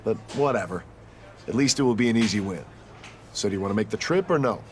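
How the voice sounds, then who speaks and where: A man's voice: fairly low pitch, expressive, quick pace, close to an everyday voice. Guy voicing smug young wrestler dude in a game cutscene, condescending, bit of background noise. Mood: taunting, dismissive. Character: cocky young male pro wrestler taunting rivals. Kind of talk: video game cutscene acting.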